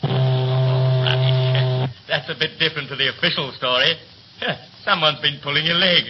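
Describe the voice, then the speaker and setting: An actor playing a brisk, bossy young ship's officer in a 1930s radio play, with a clipped, commanding, theatrical manner. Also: male voice, medium pitch, steady tone, lightly acted. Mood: brusque, scornful. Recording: muffled, noisy 1930s radio recording.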